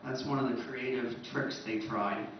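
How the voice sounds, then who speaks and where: A man's voice: medium pitch, fairly even intonation, moderate pace, music in the background. Male convention presenter, informal lecture delivery, very reverberant room, muffled pickup. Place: large echoey presentation room. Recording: echoey room, distant muffled mic, faint noise.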